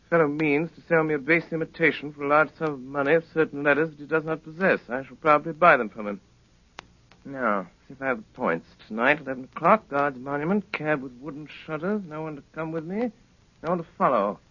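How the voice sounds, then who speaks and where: A man playing a cool, witty gentleman detective in 1930s radio style, with clipped, dry delivery. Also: a little high, fast talker, close to an everyday voice. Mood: coolly amused. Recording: dull, lo-fi 1930s radio recording.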